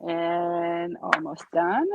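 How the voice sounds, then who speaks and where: A woman's voice: lowish voice, level tone, extremely slow, vocal fry, highs cut off, noisy background. A woman with an Israeli accent tinged with New York, chatting as she narrates her steps in a live craft demonstration. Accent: Israeli with New York traces. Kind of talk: live crafting demo chat.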